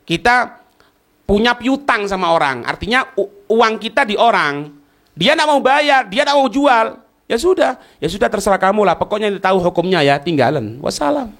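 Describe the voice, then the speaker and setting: Male religious teacher, Javanese accent, fairly high voice; informal, instructive Q&A delivery with rhetorical questions. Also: big pitch swings, moderate pace, fairly bright tone. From East Java, Indonesia. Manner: colloquial, didactic, asks rhetorical questions and checks understanding. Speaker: Islamic teacher answering listeners' questions. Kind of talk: religious lecture with question and answer.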